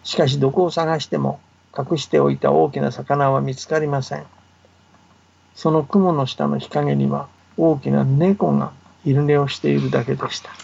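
A man telling folktales as a guest on a radio show, chatty and relaxed, with asides to the host, heard over a muffled remote line. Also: on the high side, moderate pace, creaky, slightly rough. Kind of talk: folktale telling in casual talk. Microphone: remote line to the studio.

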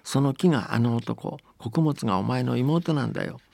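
Guy reading an old witch mom from a folk tale, very creaky low-ish voice, bossy and murderous. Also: lively intonation, normal speed, a little mellow, dry recording. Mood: stern, vengeful. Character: murderous old witch mother, storybook villain.

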